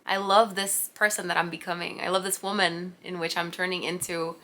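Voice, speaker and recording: A woman's voice: on the low side, level tone, a little fast, sharp tone. A woman in her late twenties from Puerto Rico, a native Spanish speaker, chatting to camera at home in a rambling, giggly way. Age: 20s. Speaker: vlogger. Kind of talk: casual unscripted talk to camera. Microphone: camera mic.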